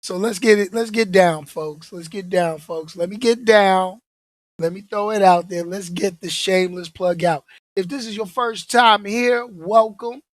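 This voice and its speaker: A man hosting his podcast in casual chat, his voice hoarse from being under the weather, joking and repeating himself. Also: high-pitched, a little slow, no room sound. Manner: laid-back, repeats himself, joking asides. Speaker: podcast host.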